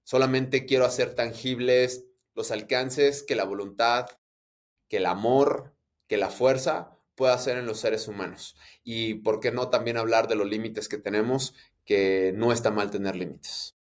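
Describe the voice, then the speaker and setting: A man's voice: medium pitch, steady tone, a little slow. A Mexican man in his thirties with a Mexican Spanish accent, talking as a guest in an interview in a reflective, open way. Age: 30s. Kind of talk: casual interview conversation.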